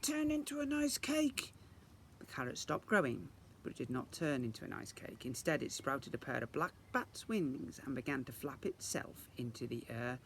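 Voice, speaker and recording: A man reading a children's story aloud in the expressive style of a teacher reading to young children. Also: medium pitch, moderate pace, slight background noise.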